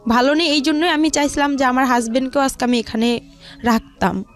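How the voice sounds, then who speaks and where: A woman's voice: high voice, fairly even intonation, a little fast. A young woman with a Bangladeshi accent telling her life story in a studio interview, colloquial and rambling, repeating her phrases. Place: radio studio. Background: music.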